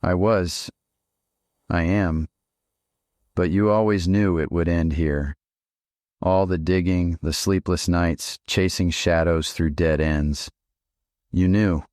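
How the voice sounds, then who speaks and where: Deep-voiced, steely ghostly father. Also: fairly even intonation, a little mellow, no room sound, studio quality. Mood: stern, accusing. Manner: measured, probing, admonishing. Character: hallucinated ghost of a father, steely, confrontational but gentle. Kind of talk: audio drama acting.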